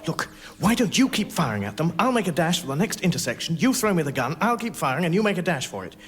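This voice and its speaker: A man's voice: fairly high pitch, sing-song, quick pace, a bit bright, soft background music. A man with a British accent playing a bewildered, exasperated ordinary Englishman in a radio comedy, fussy and sardonic. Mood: flustered, exasperated. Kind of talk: radio comedy drama acting.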